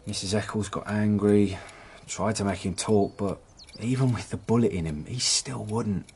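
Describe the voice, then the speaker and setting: A man's voice: on the low side, steady tone, normal speed, a little mellow, slight background noise, close to an everyday voice. A man playing a nervous petty crook and hapless henchman in a radio drama, with an English accent and a hesitant, self-excusing manner. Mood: confessing. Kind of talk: British radio drama acting.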